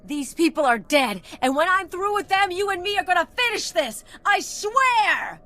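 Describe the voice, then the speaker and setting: High, sharp, defiant alien woman. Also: very animated, moderate pace, full character voice. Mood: furious. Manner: brash, aggressive. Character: hot-tempered, defiant female alien anarchist. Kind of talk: video game voice acting.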